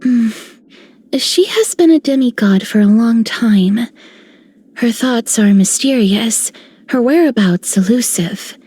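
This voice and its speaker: Game noblewoman: dark-toned, breathy, composed, thoughtful, serene. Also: a little high. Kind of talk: video game character voice lines.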